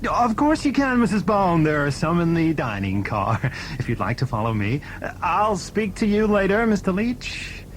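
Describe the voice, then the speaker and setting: A man playing a smooth-talking, sinister impostor in an audio drama, courteous with an undertone of menace. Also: high voice, huge pitch swings, normal speed, few pauses, slight background noise. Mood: falsely courteous.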